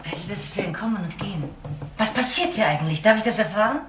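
A woman's voice: medium pitch, expressive, fast talker, natural voice. Actress playing older woman, skeptical stern mother, crime radio drama, roomy sound, background noise. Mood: reproachful. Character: disapproving, skeptical elderly mother of a grown daughter.

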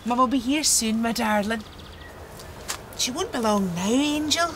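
A woman playing a gentle, tender childminder in a children's TV show. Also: fairly high pitch, expressive, a little slow, noisy background. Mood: soothing. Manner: reassuring, tender. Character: gentle, soothing childminder granny comforting a child. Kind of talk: children's TV acting.

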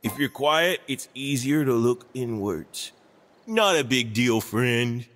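A man's voice: medium pitch, lively intonation, slow, a bit bright, big-room sound. A man voicing a laid-back, nature-loving hippie stoner wizard for a video game, with a drawling, spaced-out surfer-dude delivery. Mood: spaced-out, friendly. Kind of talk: video game character voice lines.

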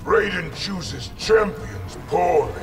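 A brutal video game warlord, imperious and menacing. The delivery is slow and gravelly, with big swings in pitch, and music plays behind it. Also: male voice, sing-song, far from the mic, exaggerated voice. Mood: contemptuous. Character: brutal, arrogant male warlord emperor. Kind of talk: fighting game dialogue.

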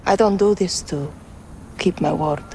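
An actress playing a grave, principled young rebel commander in a game, with a Middle Eastern accent and measured, firm delivery. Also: female voice, on the low side, warm tone, slight background noise. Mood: grave, resolute. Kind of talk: cinematic game acting.

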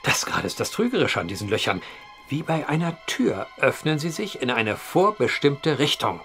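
A solemn, courteous man who investigates the uncanny in a gothic audio drama. His mid-pitched voice rises and falls vividly as he explains in formal, deliberate phrases. Soft music sits underneath. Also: very animated. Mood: earnest, deliberate. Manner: formal, measured, old-fashioned phrasing. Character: earnest, methodical man investigating vanishings, polite storyteller. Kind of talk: period horror audio drama acting.

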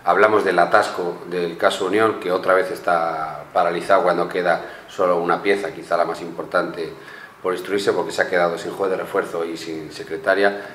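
Deep-voiced Canarian man with measured talk. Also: fairly even intonation, a bit bright. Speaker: newspaper journalist. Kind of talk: studio news chat. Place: small studio with blue backdrop. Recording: echoey studio room, faint hum.

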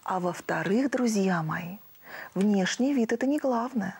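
A middle-aged woman telling a children's story as the host of a puppet show, expressive and lively. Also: mid-range voice, measured pace, creaky, slightly rough. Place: cozy studio set. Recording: music playing behind.

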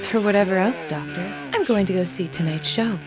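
Woman as a curt military commander, lowish lively voice, loud guitar music behind. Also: brisk, close to an everyday voice. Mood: stern. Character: stern female military commander.

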